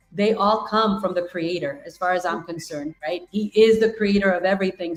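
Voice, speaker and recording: A woman's voice: medium pitch, roomy sound, low-quality recording. A woman hosting an interview podcast, reacting in an effusive, encouraging way and repeating "right?" and "I love that". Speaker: podcast host, business coach. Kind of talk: casual interview conversation.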